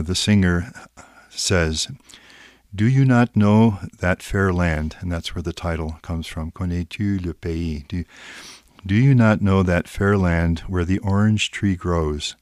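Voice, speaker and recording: A man's voice: deep voice, dry recording, studio quality. A man, a priest, giving a reflective spiritual talk and carefully reading poetry aloud. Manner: careful, reflective, reads verse aloud slowly.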